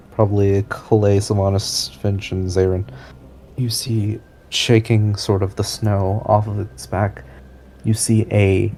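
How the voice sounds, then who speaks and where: Slow, gravelly man narrating as game master. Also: on the low side, warm tone. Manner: suspenseful, pausing mid-sentence. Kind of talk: improvised tabletop game narration.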